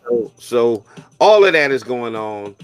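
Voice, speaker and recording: A man's voice: mid-range voice, very animated, smooth, rough recording. A man hosting a casual radio talk show, joking with his co-host and reading church announcements in a loose, playful way. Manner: loose, joking, drawn-out sign-offs.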